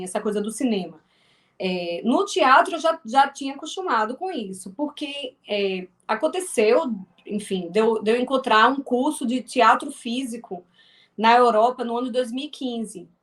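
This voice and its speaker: A woman from Northeast Brazil with a strong Northeastern accent, a filmmaker and actress chatting as an interview guest, with many restarts. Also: a little high. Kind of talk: casual interview talk.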